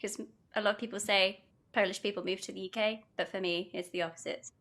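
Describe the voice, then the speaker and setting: A young British woman talks in a bright, clear voice as a podcast guest. She rambles cheerfully and giggles between thoughts. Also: medium pitch, steady tone, fast. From United Kingdom. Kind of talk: casual podcast chat, reading aloud.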